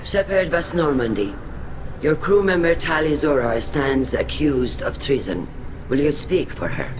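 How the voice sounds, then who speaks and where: Solemn alien admiral over game noise. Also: male voice, on the high side, animated. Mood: formal, solemn. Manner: formal, measured, ceremonial. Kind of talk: video game voice acting.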